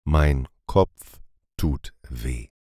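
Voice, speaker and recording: A man reading short phrases calmly and deliberately for a language lesson, repeating each one. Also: a bass voice, slightly rough, dry recording, studio quality. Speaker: language teacher.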